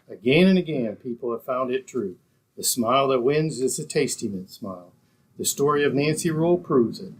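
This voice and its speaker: Male announcer, mid-pitched, old-time radio commercial, promotional, audible background noise. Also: low-quality recording.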